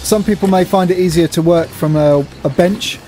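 This is a middle-aged man giving an instructional voice-over. His voice sits a little high for a man and has a very mellow, rounded tone. He explains things plainly and practically, with some music behind him. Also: normal speed. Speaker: presenter demonstrating gym exercises.

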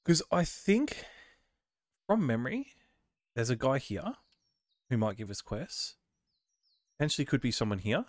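A man with a cold casually commentating his own gameplay, reading on-screen prompts aloud and thinking out loud as he plays. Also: mid-range voice, very animated, unhurried, pauses often, dry recording, studio quality. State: has a cold. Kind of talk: casual let's-play commentary.

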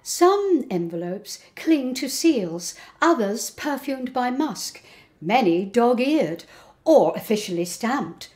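A middle-aged woman performing a dramatic poetry recital with deliberate, theatrical phrasing. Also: a little high, a little mellow. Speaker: poet performing her own poems.

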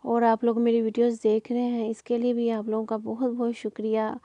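A middle-aged woman talks through home cooking in a loose, conversational way. Her voice sits a little high but is warm and dark in tone, with little melodic movement. The sound is close and dry, like an informal voice-over. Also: monotone, brisk, no echo, muffled recording. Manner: conversational, rambling. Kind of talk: casual chatty cooking voice-over.

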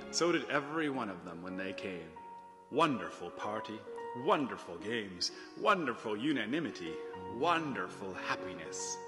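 A male narrator reads a classic story dramatically. His voice is on the low side and carries a long hall reverb, with clear music playing behind him.

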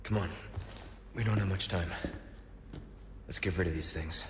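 An actor playing a stoic, gruff action hero in a video game, terse and low-key. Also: male voice, steady tone, normal speed, close to an everyday voice. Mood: quiet, resolute. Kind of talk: understated video game acting. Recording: echoey, noisy background.

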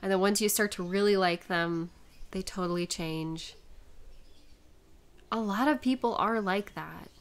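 A woman playing a sick, sweet young woman flustered by a crush, in an intimate audio roleplay with halting asides to herself. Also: medium pitch, natural voice. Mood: flustered, caring. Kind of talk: intimate one-sided audio roleplay acting.

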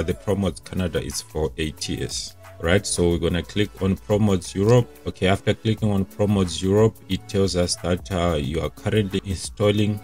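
A man's voice: sits low, darkish tone. A young man presenting a casual step-by-step tech tutorial in an informal, explanatory way.

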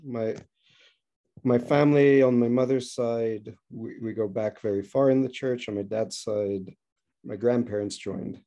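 A man from Israel, a podcast guest on a video call, telling his story in a relaxed way with small restarts. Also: medium pitch, unhurried. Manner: relaxed, self-correcting storyteller. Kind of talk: casual interview.

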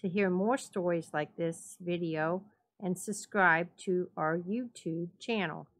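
A woman narrating a classic story as a dramatic reading, measured and deliberate. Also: fairly low pitch.

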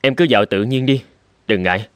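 A man's voice: lowish voice, a little fast, lightly acted. Man acting a familiar, busy cartoon clinic director. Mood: welcoming. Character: busy clinic director, welcoming elder brother-in-law. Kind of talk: cartoon drama dubbing.

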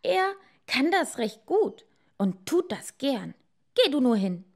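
Woman acting a high-pitched, bossy fisherman's wife. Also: sing-song, slow pace, darkish tone, heavily acted. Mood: bossy, insistent. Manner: bossy, insistent. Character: demanding, greedy, discontented fisherman's wife.

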